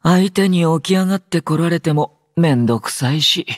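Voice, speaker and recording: An actor playing a drowsy, low-energy young male android soldier in an anime game, aloof yet gentle, with a languid, understated delivery. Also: normal speed, no room sound, studio quality, natural voice. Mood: drowsy, nonchalant. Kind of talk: Japanese anime game character voice lines.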